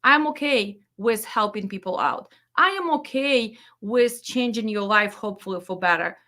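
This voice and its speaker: A woman's voice: medium pitch, lively intonation, moderate pace, pushed and bright. A woman with a Ukrainian accent giving a blunt, emphatic instructional talk as a freight dispatch trainer, repeating her instructions and speaking slightly broken English. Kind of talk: direct-to-camera instructional talk.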